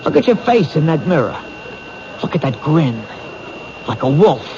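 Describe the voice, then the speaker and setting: A shrewd detective in a vintage radio drama, pressing a cornered suspect with steady goading. The old broadcast is dull and full of hiss. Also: male voice, a little high, moderate pace. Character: male detective, shrewd and provoking. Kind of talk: 1970s radio drama acting.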